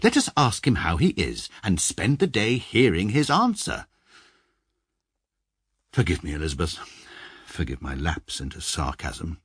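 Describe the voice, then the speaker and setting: A very deep, husky-edged voice belongs to a detached, self-important barrister in middle age. He speaks in measured, sarcastic phrases, close and dry on the mic, as in a radio comedy. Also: huge pitch swings, fairly bright tone, dry recording, exaggerated voice. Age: middle-aged. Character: short, pompous, detached middle-aged male barrister. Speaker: barrister narrating his own story. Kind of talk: radio comedy drama narration.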